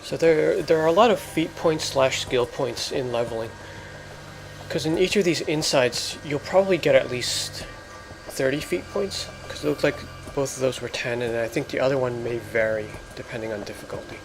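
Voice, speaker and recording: A man hosting a let's play, explaining things in casual, halting gameplay commentary. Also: mid-range voice, creaky, a little hiss or hum, low-quality recording. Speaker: gamer, let's play host.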